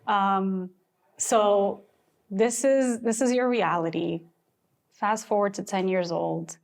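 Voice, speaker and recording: A woman co-hosting a faith podcast, gently prompting her co-host with questions in casual chat. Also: medium pitch, steady tone, slow, gravelly.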